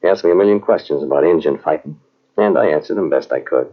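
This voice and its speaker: An American man playing a deferential, earnest cavalry sergeant in an old radio drama, talking in a halting, folksy way. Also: rumbling low. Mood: nostalgic, reminiscing. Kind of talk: old-time radio drama acting and narration. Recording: muffled old radio drama from cassette tape.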